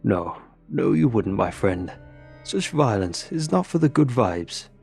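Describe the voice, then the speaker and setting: A man's voice: lowish voice, big pitch swings, measured pace, gravelly, darkish tone, exaggerated voice. Genial foreign drinker, done by a male narrator, audio fiction: tipsy, playful, mock-wise, non-native wording, music beneath. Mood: playful scolding.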